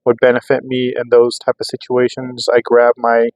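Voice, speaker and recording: A man's voice: mid-range voice, monotone, moderate pace, a little mellow, dry recording, rough recording. An American man talking casually and off the cuff as a vlogger testing gear, rambling and thinking aloud. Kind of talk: casual unscripted vlog narration. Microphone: clip-on microphone.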